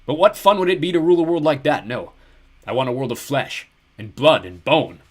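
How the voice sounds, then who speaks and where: A man voicing a huge, cruel ancient ogre lord who talks slowly and boastfully. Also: natural voice. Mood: gloating. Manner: booming, slow and gloating. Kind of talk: audiobook character voice.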